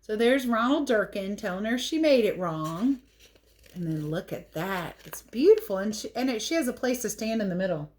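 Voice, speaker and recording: Animated woman teacher reading a storybook. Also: medium pitch, normal speed, a little hiss or hum. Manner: expressive, playful, chatty commentary on the pictures. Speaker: teacher reading a picture book to children. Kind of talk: animated read-aloud storytelling with casual asides.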